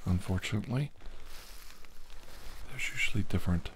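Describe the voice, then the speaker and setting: A middle-aged man playing a doctor in an ASMR medical roleplay, speaking deliberately in short, halting phrases with pauses. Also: on the low side. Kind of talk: ASMR medical roleplay, soft instructions to the listener. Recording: clearly noisy room recording.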